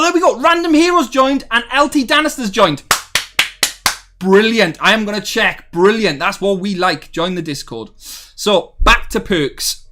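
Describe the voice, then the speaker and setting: A man's voice: sits high, bright, forceful tone. A young man hosting a live stream, chatting casually with viewers and rambling with lots of ums, perking up when people join. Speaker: streamer hosting a game development talk. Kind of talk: casual live stream chat, reading chat messages aloud.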